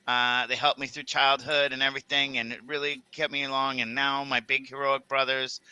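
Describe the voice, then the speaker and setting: Very bright, even-pitched man, halting. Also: clean tone, no echo. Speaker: tabletop game player. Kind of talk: casual character introduction.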